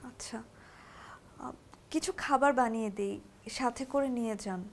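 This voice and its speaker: An actress with a Bangladeshi accent playing a meek, courteous young wife in a TV drama, speaking politely and hesitantly. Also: female voice, fairly high pitch, measured pace, slight background noise, natural voice. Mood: timid, caring. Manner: polite, hesitant, soft pleading. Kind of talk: natural TV drama acting.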